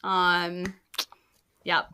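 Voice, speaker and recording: A woman's voice: on the low side, monotone, extremely slow, pushed and bright, smooth. A woman with a New Zealand accent hosting and narrating a tabletop roleplaying podcast as game master, often repeating phrases for effect.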